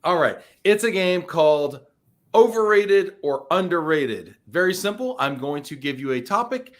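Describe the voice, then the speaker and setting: A man's voice: high voice, expressive, normal speed. Guy hosting a live show, joking around, teasing the guest, lots of restarts. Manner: playful, teasing; frequent restarts and fillers. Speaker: show host. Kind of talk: live-streamed interview chat, reading viewer comments.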